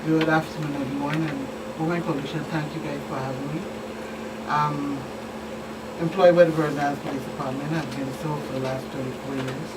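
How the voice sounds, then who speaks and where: A woman's voice: low voice, steady tone, a little fast. A middle-aged woman with a Virgin Islands Caribbean accent, explaining things as a police expert guest in a talk show interview. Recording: distant mic, clearly noisy background.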